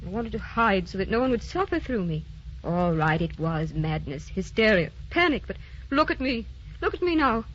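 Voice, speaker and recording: An actress playing a gentle, wistful woman who stays youthful, with a genteel, guarded manner, in a sentimental radio drama. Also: mid-range voice, big pitch swings, moderate pace, close to an everyday voice. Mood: pained, confiding. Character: secretly ageless young-looking woman, gentle, wistful, guarded. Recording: muffled old broadcast with clear noise.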